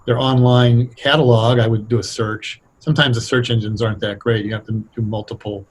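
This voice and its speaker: Husky-voiced man talking casually. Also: medium pitch, little pitch movement, brisk. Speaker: radio drama writer, interview guest. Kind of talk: casual explanatory interview talk.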